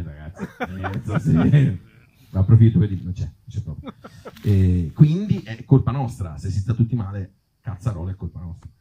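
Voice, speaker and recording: A man's voice: on the low side, warm tone, muffled recording, slight background noise. A middle-aged man with a Tuscan accent talking off the cuff to a live audience as an interviewed actor, colloquial and wry, with some mild swearing. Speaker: actor and author being interviewed. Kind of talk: spontaneous talk to a live audience.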